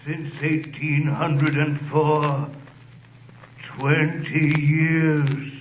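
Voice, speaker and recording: An actor playing an aged, learned priest in prison, solemn and theatrical in a 1930s radio drama. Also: male voice, fairly high pitch, level tone, very slow. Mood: wary, questioning. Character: mad priest, aged learned male prisoner, patient and fatherly. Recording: muffled, hissy vintage 1930s radio recording.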